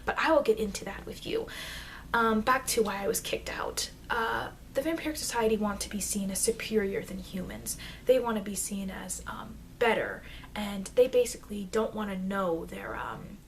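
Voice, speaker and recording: A woman playing a chatty, informal immortal vampire teacher in an ASMR roleplay, rambling hesitantly and confidingly, with soft dips into whispering. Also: mid-range voice, animated, normal speed, close to an everyday voice. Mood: confiding, defensive. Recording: noisy amateur microphone.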